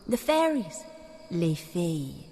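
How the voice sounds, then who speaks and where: Mysterious fairy woman, whispering, playful, slow and lilting, mellow and dark in tone. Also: on the low side, very animated, slight background noise, exaggerated voice. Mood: teasing. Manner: playful, breathy whispers, switches between tongues. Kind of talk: radio drama acting.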